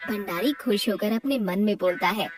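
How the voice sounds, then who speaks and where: A woman's voice: medium pitch, moderate pace, recorded from a distance, over music. A woman reading scripted narration for a children's story.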